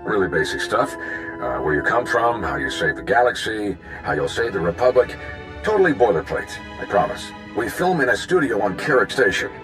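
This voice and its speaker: A chummy reporter in a space game. His low voice swings expressively as he flatters and persuades, with clear music behind him. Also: normal speed. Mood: breezy, reassuring. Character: smooth-talking, chummy male news reporter. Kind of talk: video game cutscene acting.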